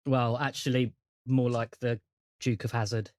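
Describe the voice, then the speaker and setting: A man's voice: mid-range voice, level tone, measured pace, darkish tone, no room sound, clean studio sound. A man hosting a tabletop role-playing podcast in casual chat, with a jokey, teasing manner. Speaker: host and game master of a role-playing podcast. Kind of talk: casual chat introducing and recapping a game.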